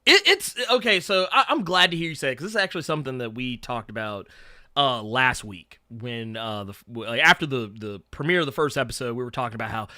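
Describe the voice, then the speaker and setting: A man of thirty-nine hosting a casual chat, talking in halting bursts and repeating words as he gets going. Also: mid-range voice, animated, sharp tone, dry recording, clean studio sound. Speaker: host.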